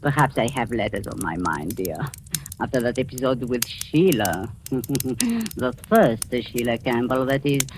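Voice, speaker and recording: An actress playing a scheming, falsely sweet middle-aged aunt in old radio suspense style, with halting, menacing delivery. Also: female voice, rumbling low, sing-song, exaggerated voice. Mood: sly, knowing. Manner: cloying endearments, deliberate halting menace. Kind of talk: 1940s radio suspense acting. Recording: noisy old-time radio recording.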